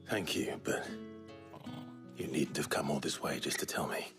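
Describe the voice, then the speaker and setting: Very deep, brooding warrior. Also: male voice, normal speed, in a whisper. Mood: gentle, grave. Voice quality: very deep, hushed. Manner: grave, terse, restrained. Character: brooding, soft-spoken young male deserter warrior. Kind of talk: dramatic video game cutscene acting. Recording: loud music under the voice.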